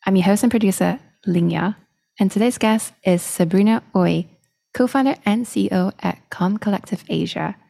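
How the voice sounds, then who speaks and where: A woman hosting a podcast, reading her episode introduction in a measured way. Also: mid-range voice, vocal fry, warm tone. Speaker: podcast host and producer.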